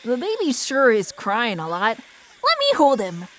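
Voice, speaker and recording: Performer as a high-voiced, cheeky incubus demon. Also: male voice, a little slow, slight background noise, full character voice. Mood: teasing. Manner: animated, teasing.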